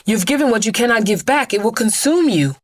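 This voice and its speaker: A woman's voice: on the high side, expressive, fairly quick, pitched above the performer's own voice. A woman voicing an anguished otherworldly male being who makes solemn, accusing pronouncements in an audio drama. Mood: horrified shrieking.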